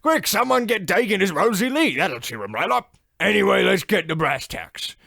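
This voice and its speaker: Swooping, high-voiced cocky little sweep monster. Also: male voice, big pitch swings, normal speed, vocal fry, bright, forceful tone, no room sound, clean studio sound, full character voice. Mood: gleefully explaining. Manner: swaggering storytelling with British slang. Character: cocky, chatty male monstrous chimney sweep, four feet tall. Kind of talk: old-time radio horror comedy acting.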